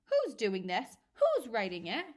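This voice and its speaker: A woman voicing a huge, furious tyrant of a headmistress who shouts her lines in a storybook reading. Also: high-pitched, lively intonation, slow, voice pushed up. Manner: shouting, outraged.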